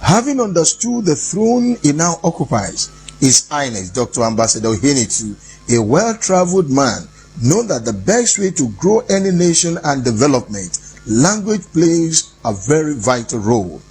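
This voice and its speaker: A man's voice: fairly high pitch, very animated, normal speed, a little mellow, faint music behind, rough recording. A man reading scripted documentary narration in a grand, formal manner.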